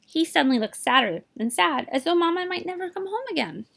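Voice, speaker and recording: A woman reading a children's picture book aloud in an animated way, speaking directly to young listeners. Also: very high-pitched, fairly quick, creaky. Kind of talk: expressive children's read-aloud with asides to listeners.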